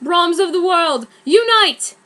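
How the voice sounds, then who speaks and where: A woman voicing an excitable, headstrong boy wizard in an overwrought, emphatic dramatic reading of fan fiction. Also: very high-pitched, takes it slowly, pitched above the performer's own voice, full character voice. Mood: defiant.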